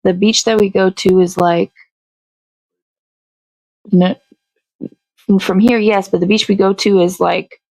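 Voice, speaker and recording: A woman's voice: mid-range voice, steady tone, slow, pauses often, darkish tone, no echo, low-quality recording. A woman hosting a morning show in casual chat, talking in a blunt, sweary, rambling way.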